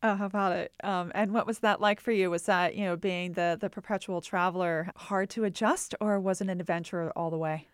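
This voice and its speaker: A woman's voice: lowish voice, fairly even intonation, a little fast, few pauses, smooth, no echo, studio quality. A woman hosting a podcast, giving upbeat, friendly introductions and asking questions. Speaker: podcast host.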